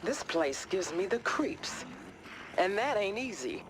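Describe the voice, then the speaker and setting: A man's voice: sits high, very animated, slow pace, background noise, full character voice. A man playing a wry, wary squad fighter in a video game, speaking in terse one-liners. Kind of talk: video game character acting.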